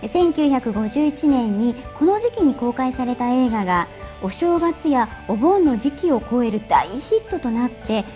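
High-pitched woman presenting the news. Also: sing-song, measured pace, few pauses, dark tone, faint music behind. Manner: polite, clearly articulated. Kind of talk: scripted news presentation and narration. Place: TV studio.

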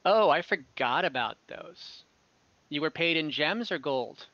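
Game master, young man, bright and a bit high, laid-back and rambling, dull call audio. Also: a little slow, no echo. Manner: relaxed, rambling, many fillers. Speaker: dungeon master. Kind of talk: running an online tabletop game. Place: home room.

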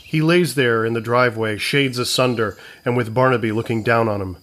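A man's voice: mid-range voice, fairly quick, fairly bright tone. A man reading scripted narration for an audio comedy, describing scenes as the narrator.

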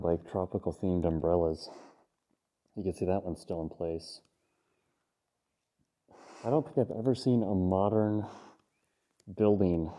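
Male urban explorer, low husky voice, very dark tone, hushed casual commentary indoors. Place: abandoned moldy building indoors.

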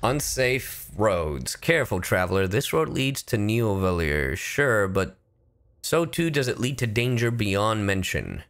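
A man reading game lore aloud as a gruff, wry male traveler giving a warning, deliberate and theatrical. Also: lowish voice, big pitch swings, fairly bright tone, heavily acted.

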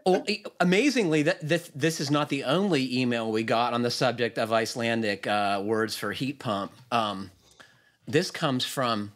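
A man's voice: medium pitch, normal speed, fairly bright tone. A man hosting a podcast over a video call, reading listener emails aloud with plenty of ums and uhs. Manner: hesitant, many fillers. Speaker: podcast host. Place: home room, video call.

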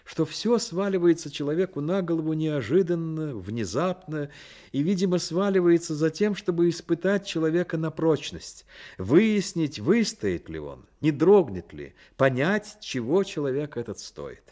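An actor playing the storyteller of a radio play, narrating in a literary style. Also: male voice, on the high side, sing-song, moderate pace, warm tone. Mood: reflective. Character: storyteller narrating a boy's life.

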